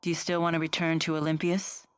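A woman's voice: low-pitched, little pitch movement, quick pace, smooth, no room sound, close to an everyday voice. An actress playing a composed, resolute young woman in a video game, blunt, formal and matter-of-fact. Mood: earnest, calm. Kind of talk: English game voice acting.

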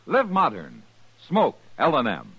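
A man announcing a 1950s radio drama and its sponsor in a booming, dramatic delivery. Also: huge pitch swings, unhurried. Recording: dull vintage radio over loud music.

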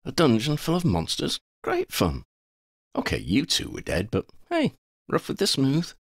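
Man voicing a brash, cocky young woman, pitched up. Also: huge pitch swings, measured pace, no echo, clean studio sound, full character voice. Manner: cocky, flippant, tough-talking. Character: brash, tough, playful young woman werewolf hunter. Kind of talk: audiobook character voice.

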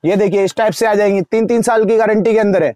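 A young man in a crowded cosmetics shop, rattling off wholesale prices per dozen and per piece in a rapid sales patter. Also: high-pitched, steady tone, a little mellow, dry recording, clean studio sound. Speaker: wholesale shopkeeper. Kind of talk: sales pitch to camera.

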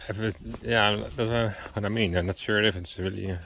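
A man's voice: lowish voice, fairly even intonation, fairly quick, gravelly, fairly bright tone, a little hiss or hum. A man chatting casually in non-native English while walking outdoors in a cold forest, chuckling and hesitating as he goes. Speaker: vlogger.

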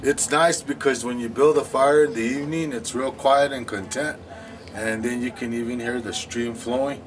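A man from the village talks about his home in a relaxed, unhurried way, pausing as he searches for words. He stands some way from the mic, and loud music plays beneath his voice. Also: medium pitch. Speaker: village resident. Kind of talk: casual interview answer. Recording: distant mic with music underneath.

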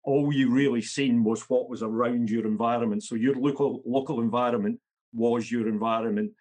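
A man's voice: medium pitch, steady tone, moderate pace, a little mellow. A Scottish man in his fifties, a podcast guest talking bluntly and matter-of-factly. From Scotland. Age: 50s. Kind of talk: podcast interview.

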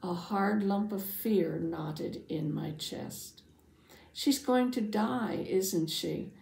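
A woman voicing a devout, anxious father who earnestly tells his Bible story, dramatically, with hushed phrase endings. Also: medium pitch, takes it slowly, creaky. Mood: anxious, urgent. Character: devout anxious father, synagogue leader, telling his testimony. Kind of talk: first-person Bible storytelling for families. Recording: roomy, echoing sound.

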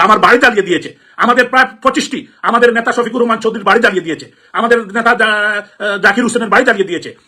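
High-pitched, indignant Bangladeshi man. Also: bright, forceful tone. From Sylhet, Bangladesh. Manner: emphatic, repetitive, indignant. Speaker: politician, elected representative. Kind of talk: impassioned political address.

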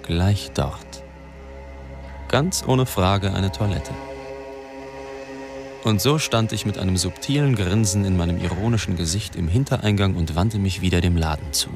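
Low-voiced sardonic reporter narrating. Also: male voice, full character voice. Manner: ironic, deliberate. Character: wry, self-mocking male newspaper reporter narrating his story. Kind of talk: first-person radio drama narration.

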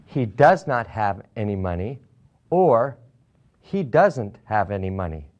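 American man, forties, language teacher, enunciated model sentences, strongly varied pitch. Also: very animated, a little mellow, lo-fi sound. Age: 40s. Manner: deliberate, enunciated. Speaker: English teacher. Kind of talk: slow scripted language lesson with simple modelled sentences. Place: small classroom set, indoors.

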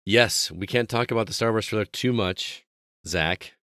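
A man hosting a video game podcast in casual chat, his voice slightly hoarse, upbeat and chatty, often trailing off low at phrase ends. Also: moderate pace, fairly bright tone, no room sound, clean studio sound. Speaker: podcast host.

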